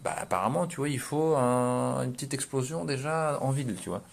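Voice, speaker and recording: Man, 30s, average-pitched voice, for an informal vlog commentary. Slangy, conversational, halting as he thinks aloud. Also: vocal fry. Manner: hesitant, repetitive, thinking aloud. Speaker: vlogger. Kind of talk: casual explanatory commentary.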